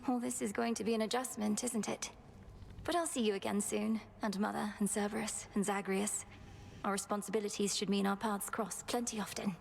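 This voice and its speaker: Woman as creaky-voiced young underworld princess. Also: medium pitch, flat delivery, a little fast, in a large hall, a little hiss or hum, natural voice, low-quality recording. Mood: earnest, tender. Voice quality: gravelly creak. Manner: composed, earnest, formal. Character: young, dutiful underworld princess and witch, earnest. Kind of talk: video game dramatic acting.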